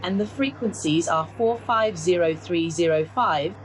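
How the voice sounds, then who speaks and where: A woman's voice: lowish voice, a little slow, poor audio. A woman giving step-by-step instructional narration as a product demonstrator.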